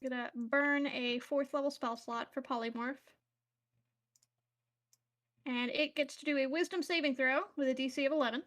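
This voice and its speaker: A woman's voice: high voice, fairly even intonation, brisk, fairly bright tone. A woman playing a tabletop fantasy game, chatting casually and thinking aloud.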